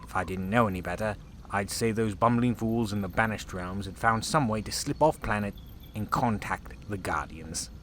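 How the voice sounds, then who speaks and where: A man's voice: fairly low pitch, normal speed, fairly bright tone, a little hiss or hum, lightly acted. A man playing an anxious, self-important chief officer in a sci-fi audio drama, agitated and pompous. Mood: anxious suspicion. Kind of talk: full-cast sci-fi audio drama acting.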